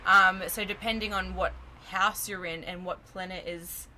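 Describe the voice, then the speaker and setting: A woman's voice: fairly low pitch, fairly even intonation, moderate pace, pushed and bright, slight background noise, low-quality recording. A woman in her twenties, a numerology guest, explaining things in a laid-back, giggly way with lots of fillers. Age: 20s. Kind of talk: casual explanatory chat.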